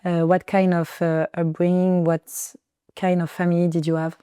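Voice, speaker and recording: A woman's voice: on the low side, flat delivery, normal speed, dark tone, smooth, dry recording, clean studio sound. A woman hosting an interview podcast, asking questions in a hesitant, friendly way. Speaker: podcast host.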